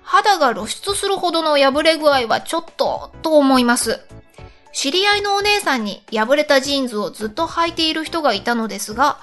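A woman with a high, very animated voice co-hosts a chatty radio show. She is polite and giggly as she talks and reads letters, with music playing behind her. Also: brisk. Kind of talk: casual chat, reading listener letters.

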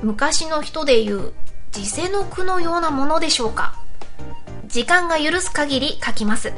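A woman's voice: high voice, lively intonation, moderate pace, bright, forceful tone, soft background music. A woman hosting a hobby internet radio show, politely reading out listener messages with frequent backchannels and giggles.

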